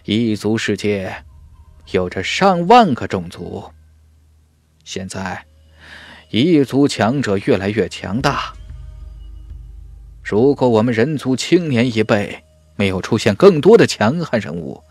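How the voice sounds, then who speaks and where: Male narrator as grave audiobook warrior, sighing and explanatory, slow with swooping pitch. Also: animated, darkish tone, dry recording, heavily acted. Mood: grave warning. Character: male elder warrior, gravely lamenting humanity's decline.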